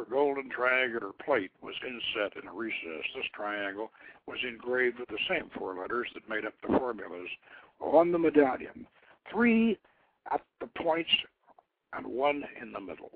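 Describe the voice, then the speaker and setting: A man reading a legend aloud as scripted narration, in a measured, dramatic storytelling manner. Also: mid-range voice. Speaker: host reading his own novelette. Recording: lo-fi, phone-like internet radio.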